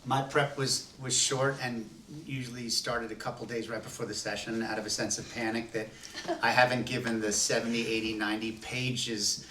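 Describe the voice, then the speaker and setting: A man voice actor speaks in a rambling, self-deprecating way, full of ums and restarts. His voice is bright and forceful. He is recorded from a distance in a roomy space with a faint hiss. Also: medium pitch, flat delivery, moderate pace. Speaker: voice actor in the radio play. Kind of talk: self-deprecating behind-the-scenes chat. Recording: roomy echo, distant mic, faint noise.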